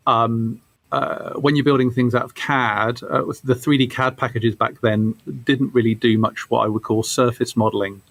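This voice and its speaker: Male interview guest, forties, mid-pitched, thoughtful and halting casual delivery, dry sound. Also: no echo. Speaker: guest, game studio creative director.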